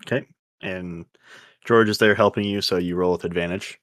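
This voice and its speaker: A man's voice: sits low, steady tone, moderate pace, fairly bright tone, dry recording, studio quality. A man acting as game master, narrating a tabletop role-playing game in an informal, slangy, conversational way.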